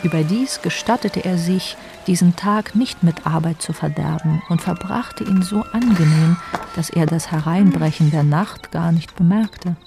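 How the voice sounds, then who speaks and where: A woman's voice: lowish voice, a little slow, gravelly, warm tone, soft background music. A woman narrating a literary radio play as a composed storyteller.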